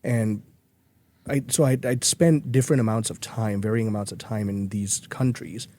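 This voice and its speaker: A man's voice: fairly low pitch, steady tone, moderate pace, mellow. A man from Malaysia, speaking earnestly as a guest in a podcast interview, with frequent restarts. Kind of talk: conversational interview.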